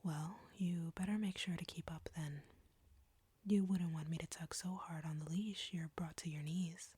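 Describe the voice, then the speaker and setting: A woman playing an arrogant, flirty vampire captor in an intimate audio roleplay, breathy and whispery, taunting and commanding. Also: on the low side, fairly even intonation, moderate pace, a little mellow, dry recording. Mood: taunting, domineering.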